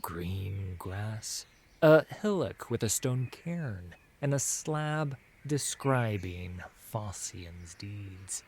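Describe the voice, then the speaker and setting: A solemn man narrates an epic fantasy tale at an unhurried pace. His voice sits fairly low, and his phrases often fade to a hush. A faint bed of ambient sound lies behind him. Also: slight background noise. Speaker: audiobook narrator. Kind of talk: dramatic scripted fantasy narration.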